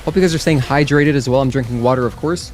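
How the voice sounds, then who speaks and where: A man's voice: medium pitch, quick pace, darkish tone, soft background music. A man whose first language is Spanish, talking casually and in a friendly way to viewers during a live gaming stream, with many fillers. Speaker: gaming livestreamer. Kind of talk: casual live-stream chat while playing.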